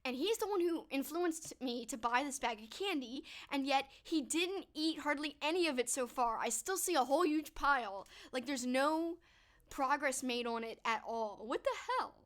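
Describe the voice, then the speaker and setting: A woman's voice: high voice, lively intonation, normal speed, fairly bright tone. Chatty young woman with a strained voice. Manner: chatty, upbeat, full of "like" and "um". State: losing her voice. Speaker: streamer answering viewer questions. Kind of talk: casual chat to a live stream.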